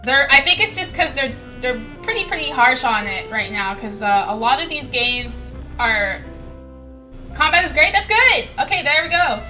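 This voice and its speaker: Young female game streamer, high bright voice, gushing and rambling, music underneath. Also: lively intonation, normal speed, rough recording. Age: young adult. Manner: gushing, rambling, repeats herself. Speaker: streamer, VTuber. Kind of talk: casual game livestream chat. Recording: music behind the voice.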